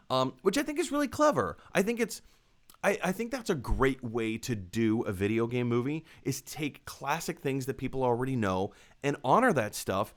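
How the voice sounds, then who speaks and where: A man with a mid-pitched voice that swings widely up and down as he talks. He sounds like a show host chatting casually with viewers, offering opinions conversationally, repeating points for emphasis and correcting himself along the way. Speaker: host of a live movie discussion show. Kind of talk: casual opinionated movie commentary to live viewers.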